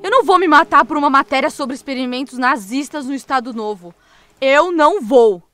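Woman acting high, sharp-voiced frightened young journalist. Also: expressive, fairly quick, no echo, faint music behind. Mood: panicked, distraught. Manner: emotional, pleading, swearing. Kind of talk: audio drama acting.

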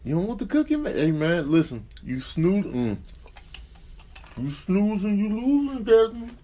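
A man's voice: high voice, big pitch swings, measured pace, dark tone. An American man doing comedic animal voice-overs in a taunting, slangy manner. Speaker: comedy voice-over performer. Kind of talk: comedic improvised animal dubbing.

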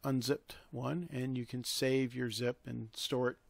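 A man hosting an informal software tutorial, talking step by step in an instructional way. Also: medium pitch, level tone, unhurried, vocal fry, no room sound. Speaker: tutorial host.

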